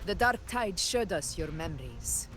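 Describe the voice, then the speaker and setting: An actress playing a noble, steadfast warrior leader in a fantasy game, in a measured, solemn and earnest manner. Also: female voice, fairly low pitch, big pitch swings, fairly bright tone, faint music behind. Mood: resolute. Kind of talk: dramatic fantasy game acting.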